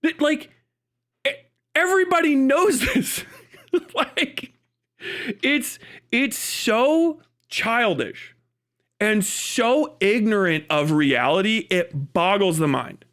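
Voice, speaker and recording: High-pitched, animated man ranting. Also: takes it slowly, pauses often. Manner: exasperated, incredulous, often laughing mid-sentence; sweary, blunt. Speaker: live streamer. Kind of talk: unscripted commentary rant.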